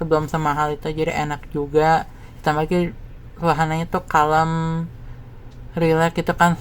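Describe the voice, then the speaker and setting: A man's voice: a little high, slight background noise. A man from West Java giving a casual, rambling, informal personal monologue as a content creator. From West Java, Indonesia.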